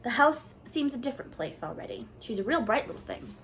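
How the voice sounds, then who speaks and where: A woman's voice: a little high, sing-song, a little fast, fairly bright tone, a little hiss or hum, voice pushed up. A woman voicing a stern older farm woman who answers in a dry, curt way.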